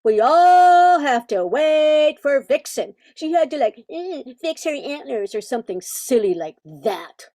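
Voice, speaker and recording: A woman's voice: sits high, very animated, measured pace, hardly any pauses, mellow. A woman playing an impatient, indignant reindeer with a huffy, chatty manner in an amateur radio play. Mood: indignant, smug.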